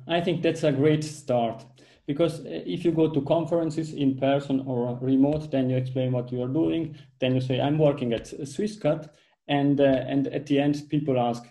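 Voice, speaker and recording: A man's voice: mid-range voice, creaky, warm tone. A man in his thirties from southern Switzerland, with an Italian accent, talking earnestly as an interview guest from his home office over a video call. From Ticino, southern Switzerland. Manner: earnest, with frequent restarts and fillers. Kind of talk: casual interview talk.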